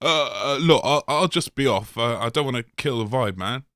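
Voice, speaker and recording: A laid-back hippie squatter, played by a man, who stammers and hesitates. He has a middling pitch and is recorded close and dry. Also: expressive, moderate pace, fairly bright tone, no echo, lightly acted. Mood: sheepish. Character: dopey hippie man with mushrooms growing on his head.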